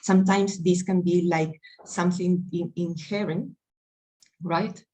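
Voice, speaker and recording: A woman's voice: fairly low pitch, steady tone, a little slow, warm tone, low-quality recording. A woman from Peru with a Peruvian Spanish accent, presenting a diversity training session and often checking in with "right?" as she searches for her phrasing. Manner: earnest, searching phrasing with tag questions like "right?". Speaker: workshop presenter.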